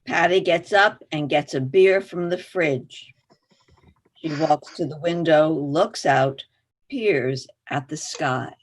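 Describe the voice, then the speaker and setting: A woman's voice: low-pitched, slow. A woman reading stage directions as scripted narration for a play.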